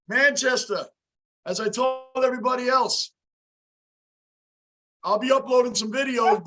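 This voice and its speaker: A loud, emotional man in his fifties hosts a sports fan livestream, reading the chat aloud. His voice sits high and bright, though it sounds tired and worn out. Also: poor audio. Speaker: livestream host.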